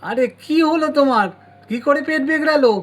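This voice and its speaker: A man's voice: extremely high pitch, brisk. A man voicing an eager young village doctor, the visiting younger brother in a doll story. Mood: excited, concerned.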